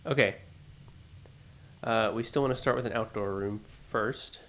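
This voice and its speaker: A man hosting a let's play, thinking aloud in an unhurried, musing way, his voice low and groggy from just waking up. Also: fairly bright tone. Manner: musing, unhurried, self-talking. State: low morning voice, just woken up. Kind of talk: thinking-aloud gameplay commentary.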